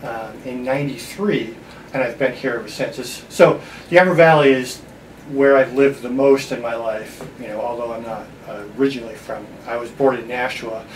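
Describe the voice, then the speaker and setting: A man's voice: medium pitch, moderate pace. A man from upstate New York giving informal answers as a college department chair being interviewed. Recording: roomy echo, distant mic, background noise.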